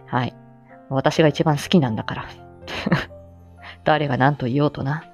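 A woman's voice: very deep voice, steady tone, a little slow, darkish tone, dull audio, soft background music. A woman hosting a casual livestream, talking in a humble, effusive and playfully feisty way. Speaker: livestream host, amateur voice actor.

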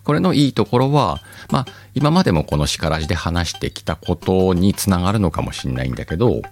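A man hosting a podcast alone, chatting casually in a rambling, self-mocking way with drawn-out pauses. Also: low voice, very animated, darkish tone, soft background music. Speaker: podcast host. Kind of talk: casual solo chat.

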